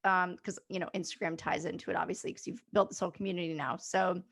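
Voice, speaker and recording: A woman's voice: on the low side, steady tone, fast talker, creaky, fairly bright tone. A young adult woman hosting a casual interview, chatty and upbeat, with many filler words. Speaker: host.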